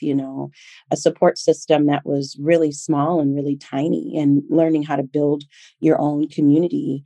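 A woman in her forties with a low, mellow voice answers interview questions in a reflective way, pausing on frequent ums. The sound is dry and close to the mic. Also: dry recording. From Liberia, raised in Minnesota. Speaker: teacher and consultant, guest. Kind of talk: interview storytelling.

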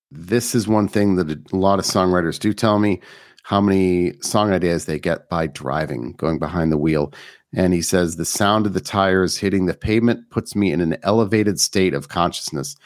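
Male podcast host, low voice, close dry sound, informal and explanatory. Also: no echo. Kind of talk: conversational interview and introduction.

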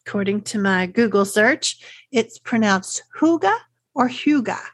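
Middle-aged Texan woman, podcast host, friendly and chatty, mid pitch, dry close sound. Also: animated, takes it slowly, no echo. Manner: friendly, chatty, asks rhetorical questions. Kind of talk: scripted solo podcast talk.

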